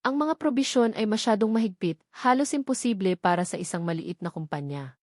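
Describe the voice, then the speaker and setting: A woman reading a scripted romance story as narrator, in a measured storytelling delivery. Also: mid-range voice, darkish tone, dry recording, clean studio sound.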